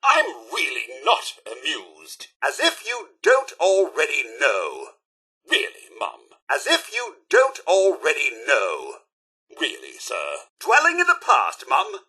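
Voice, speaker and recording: A man's voice: on the high side, huge pitch swings, takes it slowly, bright, forceful tone, slightly rough, exaggerated voice. A man with a British accent voicing a prim, loyal robot butler in a video game, with a formal, fussy, theatrical manner. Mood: offended. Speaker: companion character in a video game. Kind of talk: scripted game dialogue.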